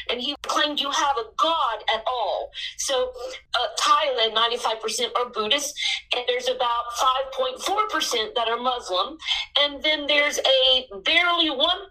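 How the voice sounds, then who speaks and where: Missionary woman in her sixties, high bright voice, earnest storyteller, a bit off-mic. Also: distant mic, low-quality recording. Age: 60s. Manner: earnest storytelling with bursts of excitement.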